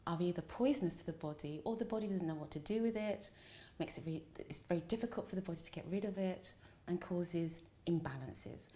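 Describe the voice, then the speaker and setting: A woman's voice: lowish voice, mellow. A woman explaining as an expert guest in an interview, emphasising points by repetition and checking in as she goes.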